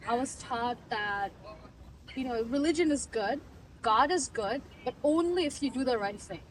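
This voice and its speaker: High-voiced young woman, earnest and reflective. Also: animated, normal speed, distant mic, slight background noise, rough recording. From Bangladesh. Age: 20s. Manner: reflective, earnest, laughs easily. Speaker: client giving a testimonial. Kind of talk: casual interview.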